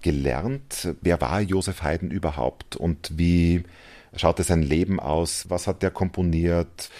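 A man's voice: low-pitched, a little mellow. A man with an Austrian accent, an educator explaining his work informally in an interview. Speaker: teacher trainer and school radio founder.

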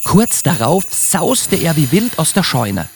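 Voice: mid-range voice, big pitch swings, takes it slowly, slight background noise. A narrator reading scripted storybook narration for a Christmas radio play.

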